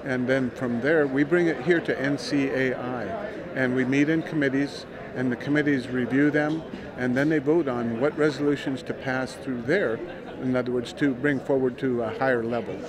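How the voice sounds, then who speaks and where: Older man, measured explainer, crowd noise behind. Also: mid-range voice, fairly even intonation. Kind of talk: explanatory interview.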